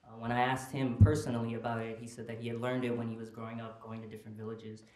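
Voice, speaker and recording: A man's voice: medium pitch, flat delivery, fast, a little mellow. Young man presenting in an echoey room. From Nepal, grew up in the United States. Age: young adult. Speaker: student reporting fellow giving a presentation. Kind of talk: informal public presentation. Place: indoor conference room with small audience. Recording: roomy, echoing presentation room.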